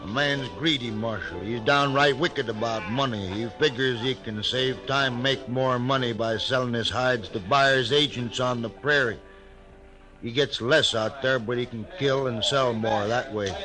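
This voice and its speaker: A man playing a rough, nervous frontier hide skinner in an old radio western, with a folksy drawl. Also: medium pitch, unhurried, sharp tone, soft background music, poor audio. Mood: wary. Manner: folksy drawl, eager and wary. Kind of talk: 1950s radio western acting.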